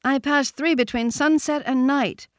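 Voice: high-pitched, fairly even intonation, measured pace, a bit bright, no room sound, studio quality, voice pushed up. A man voicing a mournful young deer in a storybook, plaintive and grave.

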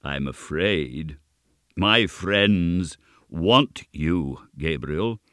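A man voicing a stern, mocking old goblin in a storybook reading, deep and deliberate, with sneering questions. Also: animated, fairly bright tone, no echo, clean studio sound, exaggerated voice.

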